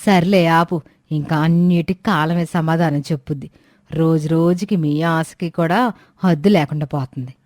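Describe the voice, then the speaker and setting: A woman's voice: low voice, fairly even intonation, moderate pace, a little mellow, no room sound, clean studio sound, voice pushed down, close to an everyday voice. A woman voicing a complaining, demanding old village woman customer in a storybook tale. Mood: resentful. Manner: complaining, argumentative. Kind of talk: acted dialogue in a moral fairy tale.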